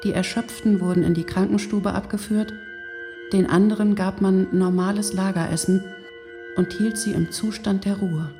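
An actress playing a condemned woman camp prisoner in a radio drama, speaking gravely and deliberately with bitter accusation. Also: medium pitch, fairly even intonation, natural voice. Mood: grave, despairing. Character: doomed women camp prisoners, one a defiant mother, bitterly accusing. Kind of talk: dramatised reading of testimony for radio. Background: music.

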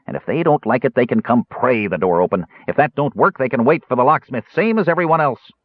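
A man's voice: mid-range voice, expressive, quick pace, dry recording, phone-quality sound, voice pushed up, lightly acted. A man voicing a toothless, cunning old jailer in an audiobook, with a thin, whiny, cackling voice and folksy grammar. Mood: cunning, smug.

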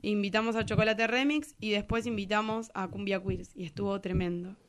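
A woman's voice: mid-range voice, steady tone, brisk. A woman with a Rioplatense Argentine accent, a musician chatting casually and colloquially as an interview guest. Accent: Rioplatense Argentine Spanish. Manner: colloquial, slangy. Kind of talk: casual radio interview chat.

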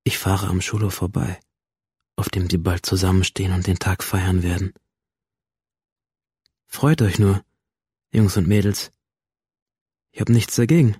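A man's voice: sits low, dark tone, slightly rough, no echo, studio quality, heavily acted. A male actor playing a troubled young man who narrates his own slipping reality in an introspective radio drama monologue. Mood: defiant, relieved.

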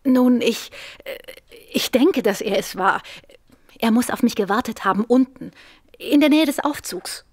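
A woman's voice: on the high side, expressive, unhurried, slightly rough. An actress playing a nervous, anxious woman seeking help in a radio drama, speaking hesitantly and earnestly.

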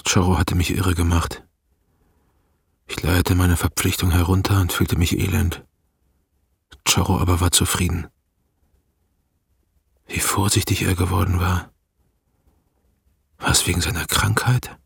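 Radio drama: reluctant, weary herdsman, deep, gravelly and rough, dry studio sound. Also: flat delivery, unhurried, pauses often, dry recording, heavily acted. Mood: weary, brooding. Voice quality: husky, gravelly. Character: weary, reluctant male communist herdsman telling his past. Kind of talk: radio play narration and dialogue.

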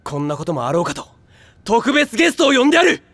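A man's voice: very high-pitched, big pitch swings, quick pace, a bit bright, exaggerated voice. A man playing a bombastic, fiercely loyal teenage boy in an anime drama CD. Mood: grand. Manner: bombastic, declaring. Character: small fiery teen boy, boastful and loyal.

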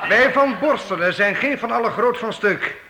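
An actor playing a bold, earnest young knight in a youth radio play, with formal, courtly, declamatory speech. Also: male voice, extremely high pitch, animated, normal speed, crowd noise. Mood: defiant. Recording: echoey, noisy old radio play recording.

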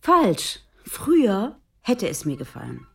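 An actress playing a guarded, matter-of-fact police detective of about forty in a naturalistic radio crime drama. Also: female voice, mid-range voice, huge pitch swings, creaky, fairly bright tone, a little hiss or hum, full character voice. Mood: irritated, cutting. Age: around 40. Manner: matter-of-fact, brisk, cutting when provoked. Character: female police detective around 40, guarded, sharp-tongued.

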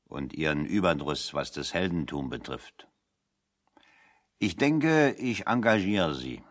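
An actor playing a shrewd working-class refugee in a radio play, talking with wry, mock-formal solemnity. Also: male voice, sits low, fairly bright tone. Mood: wry, businesslike. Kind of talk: 1970 German radio play dialogue.

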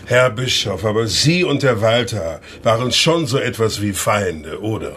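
A man playing a matter-of-fact village policeman in a naturalistic radio drama, measured and inquisitive. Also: lowish voice, lively intonation, fairly bright tone.

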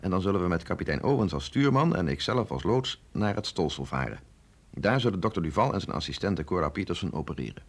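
Radio play scientist, middle-aged sceptic with a husky voice, lively pitch swings, measured and insistent. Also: male voice, heavily acted. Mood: measured, sceptical. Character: sceptical, authoritative male scientist briefing an agent, no longer young. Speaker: scientist doctor. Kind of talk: radio drama acting.

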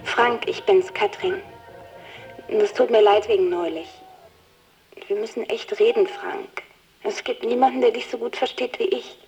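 A woman's voice: fairly high pitch, steady tone, measured pace, long pauses, a little mellow, highs cut off, faint music behind. An actress playing an anxious, devoted young woman, earnest and pleading, in a radio drama phone message. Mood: worried, pleading.

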